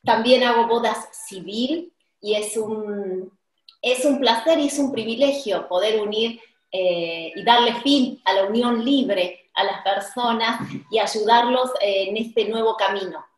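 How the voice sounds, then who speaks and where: A middle-aged woman with an Argentine accent, a wedding officiant explaining her services on a video call, earnest and pausing often with "eh". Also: on the high side, roomy sound, rough recording. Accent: Argentine Spanish. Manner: warm, earnest, frequent "eh" pauses. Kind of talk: promotional video call chat. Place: indoor room with tiled wall, flowers and candle. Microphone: video call webcam.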